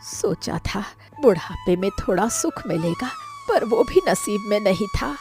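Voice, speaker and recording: A woman's voice: medium pitch, wildly swooping pitch, a little slow, music in the background. A woman playing an anxious, sorrowful elderly village mother in a cartoon story, with a plaintive, lamenting manner. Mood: hurt, sorrowful. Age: older. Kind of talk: Hindi moral cartoon story dialogue.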